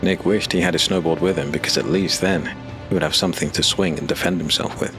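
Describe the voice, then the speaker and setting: A man narrating a dark fantasy audio drama in a measured, steady storytelling voice. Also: deep voice. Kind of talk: scripted narration. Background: music.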